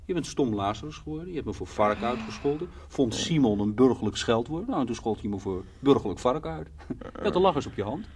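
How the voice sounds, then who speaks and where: A man's voice: mid-range voice, animated, a little fast, few pauses, darkish tone, breathy edge. An actor playing a teasing, coaxing man on holiday, playful and wry, in a Dutch radio play. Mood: wry, amused. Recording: distant, slightly hissy old radio recording.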